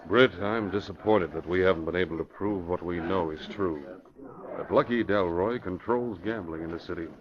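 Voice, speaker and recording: An actor playing a middle-aged police commissioner in an old radio drama, deliberate and confidential, choosing his words with care. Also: deep voice, lightly acted. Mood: frustrated. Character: discreet police commissioner, frustrated by legal limits. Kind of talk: 1940s radio acting. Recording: muffled old radio, crowd murmur behind.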